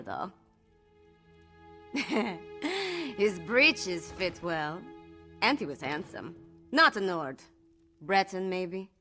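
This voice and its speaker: A woman's voice: mid-range voice, fairly bright tone. A woman playing a rebellious young princess in a video game, haughty, brash and flippant. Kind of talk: video game character dialogue.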